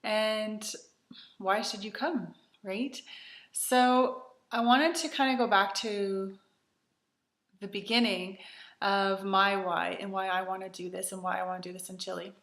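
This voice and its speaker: A woman's voice: mid-range voice, fairly even intonation, a little slow, a bit bright, reverberant. A woman in her thirties from Canada, chatty and earnest, with many ums, telling her personal story to camera as host of a retreat.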